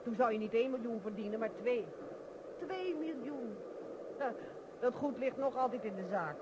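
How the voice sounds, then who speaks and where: An actress playing a middle-aged, sharp-tongued wife in a radio play, scolding her husband with exasperated sarcasm. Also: a little high, animated, normal speed, lightly acted. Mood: scornful sarcastic. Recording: noisy old radio play recording.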